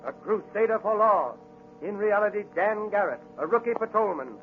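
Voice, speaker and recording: A man's voice: high voice, expressive, normal speed, faint music behind. A man announcing a 1940s radio serial in an emphatic, grandiose dramatic style. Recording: muffled vintage 1940s radio recording.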